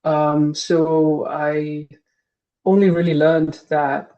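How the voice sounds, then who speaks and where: A man's voice: fairly high pitch, little pitch movement, extremely slow, highs cut off. A man in his thirties, from Singapore, answering interview questions as a guest professor, hesitant, with many fillers and restarts.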